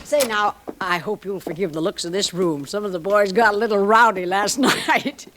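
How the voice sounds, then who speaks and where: An actress playing a flirtatious, folksy American madam of a frontier boarding house in a radio western, gushing and familiar. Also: female voice, mid-range voice, moderate pace, a bit bright, slight background noise, natural voice. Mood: coy, flattering. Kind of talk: old-time radio western acting.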